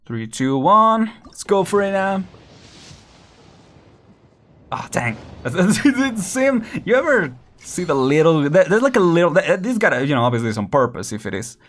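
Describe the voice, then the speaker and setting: A man's voice: sits high, big pitch swings, normal speed, a bit bright. A young man casually commenting while streaming a game, chatty and laughing often, counting down as he plays. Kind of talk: casual live gameplay commentary.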